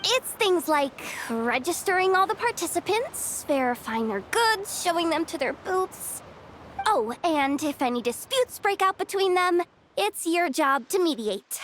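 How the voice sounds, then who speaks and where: A woman's voice: extremely high pitch, sing-song, measured pace, a bit bright, a little hiss or hum, full character voice. A woman voicing a frazzled, busy young expo organizer in a video game, talking in casual slang with stammers and sighs. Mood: harried. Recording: music behind the voice.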